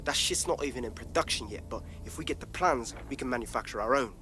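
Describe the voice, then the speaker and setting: Man playing a bright-voiced streetwise hacker. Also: mid-range voice, fast talker, lightly acted. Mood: conspiratorial, eager. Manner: slangy, informal, sweary. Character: streetwise, foul-mouthed young male hacker. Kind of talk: naturalistic video game acting.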